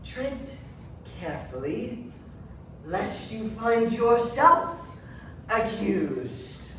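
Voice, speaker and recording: A woman's voice: a little high, big pitch swings, a bit bright, a little hiss or hum, full character voice. A woman playing a merciless, gleeful witch-trial accuser in a theatrical stage performance. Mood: menacing. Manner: theatrical, slow, pronouncing. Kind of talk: readers theatre stage acting. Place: large echoey indoor room, distant mic. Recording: very echoey hall from far off.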